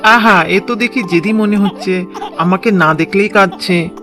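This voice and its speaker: A man voicing a gentle, earnest village husband in a cartoon, with faint music behind. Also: high-pitched, unhurried. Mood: tender. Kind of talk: cartoon dub acting.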